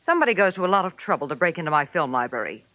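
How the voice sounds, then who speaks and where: A woman's voice: on the low side, sing-song, racing, dry recording. An actress playing a persistent, evasive blonde woman in a 1940s radio detective drama, formal and insistent. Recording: dull, dry 1940s radio recording.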